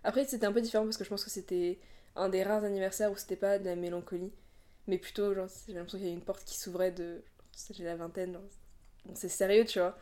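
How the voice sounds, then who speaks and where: A woman's voice: medium pitch, steady tone, fast talker, dark tone. A woman in her twenties, co-hosting a podcast, talking in a reflective, rambling way with many fillers. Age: 20s. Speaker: podcast co-host. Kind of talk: casual chat.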